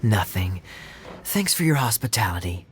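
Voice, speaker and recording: A man's voice: on the low side, big pitch swings, moderate pace, slight background noise. A man voicing a suave, roguish young tavern regular in a video game, teasing in a playful, sly drawl. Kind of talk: English video game voice acting.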